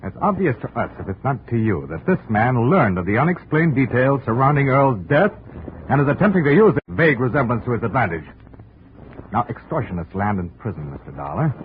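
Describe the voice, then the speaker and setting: An actor playing an indignant, pompous executive husband in 1950s radio style, speaking stiffly, formally and emphatically. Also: male voice, medium pitch, big pitch swings, a little fast, lightly acted. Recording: noisy, narrow-band vintage radio recording.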